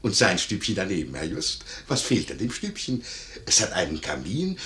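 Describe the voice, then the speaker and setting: An actor playing a flustered, scheming, obsequious innkeeper in a 1950s German radio play, stammering and wheedling. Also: male voice, low voice, huge pitch swings, moderate pace, a bit bright, full character voice.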